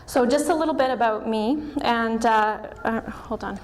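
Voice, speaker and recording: A woman giving a public presentation to a live audience, soft-spoken and a little nervous, with frequent ums. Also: on the high side, moderate pace, slight background noise, poor audio. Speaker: practitioner giving a talk. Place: event hall.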